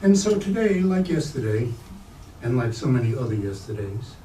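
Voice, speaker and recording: Guy reading a novel out loud, mellow voice, roomy echo, bit of background noise. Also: medium pitch, big pitch swings, moderate pace. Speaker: reader at a staged book reading. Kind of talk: literary prose reading aloud. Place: bookstore event space, indoors. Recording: roomy, distant mic, faint noise.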